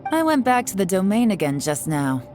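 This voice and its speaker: A woman voicing a cool, shrewd female investigator in a video game, measured, composed and knowing. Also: mid-range voice, very animated, a little mellow, natural voice. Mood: composed, analytical. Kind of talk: English game dub voice acting. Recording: game music behind the voice.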